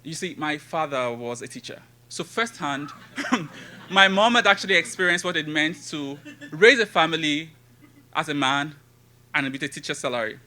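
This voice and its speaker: A man in his thirties from Nigeria with a Nigerian accent, giving a conference talk and reciting his poetry in a measured, rhythmic way. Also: a little high, bright, forceful tone. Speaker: conference speaker and poet. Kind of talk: academic talk with poetry reading.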